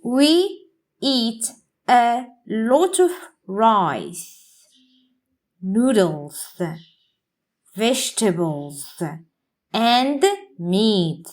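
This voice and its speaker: A woman English teacher reading a practice passage aloud for learners, enunciating deliberately word by word. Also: a little high, very animated.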